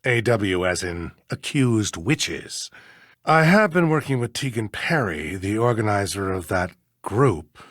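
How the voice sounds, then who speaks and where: An actor playing a stuffy, easily flustered middle-aged judge with formal, precise diction in a video game. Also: male voice, on the low side, animated, a little slow, fairly bright tone, no echo, studio quality. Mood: grave, explanatory. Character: stuffy, flustered, defensive small-town male judge.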